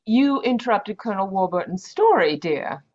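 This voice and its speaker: A woman playing a gentle, tactful doctor's wife in a radio mystery, polite and coaxing, heard over a video call. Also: medium pitch, big pitch swings, normal speed. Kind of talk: 1940s radio mystery acting. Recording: dull video call audio.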